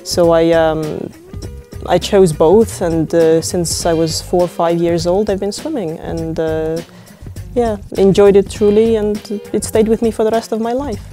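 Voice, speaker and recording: Low, mellow woman. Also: steady tone, faint music behind. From Ukraine. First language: Ukrainian. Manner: unhurried, with many fillers and restarts. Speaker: interviewee, open-water swimmer. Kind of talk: reflective interview talk.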